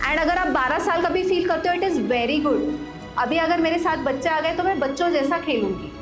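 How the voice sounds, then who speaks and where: A woman in her forties, a wellness coach leading a live workshop, lively, questioning the audience and giving step-by-step instructions while mixing in English phrases. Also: extremely high pitch, moderate pace, over music. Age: 40s. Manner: mixes Hindi with English phrases. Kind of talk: live workshop talk to a group.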